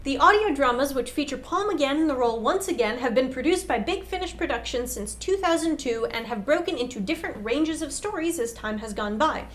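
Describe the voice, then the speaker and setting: A woman's voice: high voice, lively intonation, fairly quick, hardly any pauses, a little hiss or hum. A young adult woman, co-hosting a scripted explainer to camera. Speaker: co-host. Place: small indoor room set with whiteboard.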